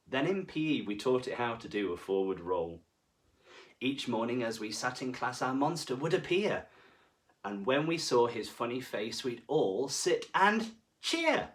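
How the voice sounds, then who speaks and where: Male children's storyteller, very animated intonation, dramatic deliberate phrasing, mid-pitched, slightly distant from the mic. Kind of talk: expressive picture-book reading for children. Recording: voice far from the mic.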